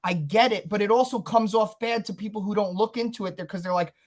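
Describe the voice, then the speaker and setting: A man's voice: high-pitched, quick pace. A man guesting on a livestream, rambling in casual chat, often saying "dude" and "you know what I mean".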